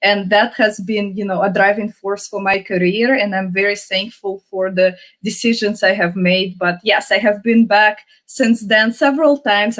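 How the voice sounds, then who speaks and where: Chatty woman from Russia, Russian-native. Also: medium pitch. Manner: chatty, upbeat, with frequent "you know" fillers. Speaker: interview guest. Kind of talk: casual interview.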